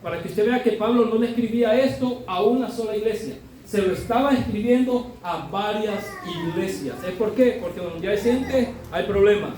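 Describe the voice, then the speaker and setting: A middle-aged man preaches in a high, mellow voice. He talks with his congregation in a conversational way and keeps asking them to agree with him. He is recorded from far off in a very echoey church hall with faint room noise behind him. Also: normal speed, few pauses. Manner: conversational, often adds tag questions; builds to loud emphatic exhortation. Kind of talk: sermon.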